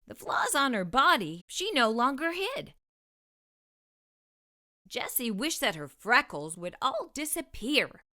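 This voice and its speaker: A woman narrates a storybook for children as a read-aloud host. Her voice is high and very animated. She speaks slowly and carefully, with expressive delivery.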